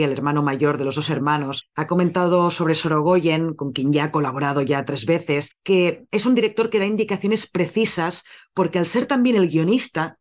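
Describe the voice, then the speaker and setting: A man hosting a casual film podcast, chatty and correcting his own slips as he goes. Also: fairly high pitch. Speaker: podcast host presenting a film.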